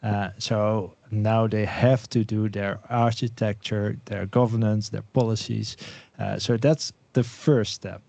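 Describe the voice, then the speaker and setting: A man from the Netherlands, a guest on an online panel, talking in a relaxed way with lots of fillers. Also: lowish voice, a little slow, vocal fry, dry recording. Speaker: Microsoft 365 specialist guest. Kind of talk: casual online panel talk.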